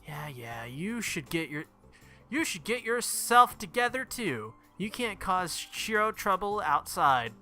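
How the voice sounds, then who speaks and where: A man's voice: high voice, big pitch swings, measured pace, sharp tone, soft background music, voice pushed up, exaggerated voice. A man voicing a cheeky, mean and confident little girl from a visual novel, taunting in a matter-of-fact way. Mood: bossy. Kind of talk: visual novel character reading.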